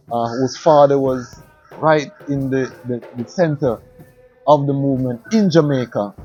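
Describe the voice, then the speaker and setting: A man's voice: mid-range voice, mellow. Male interviewer on dull lo-fi audio. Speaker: talk show host. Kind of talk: conversational interviewing.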